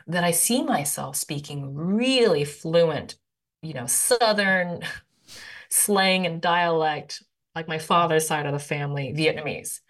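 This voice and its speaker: A woman poet answering interview questions, thinking aloud with lots of "like" fillers and an animated, conversational manner. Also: sits low.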